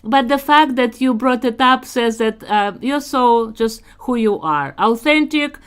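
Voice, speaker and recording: A woman from Russia with a Russian accent, hosting an online community interview in a measured, encouraging way. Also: a little high, animated, clean tone. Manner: measured, encouraging, unhurried with fillers. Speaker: host.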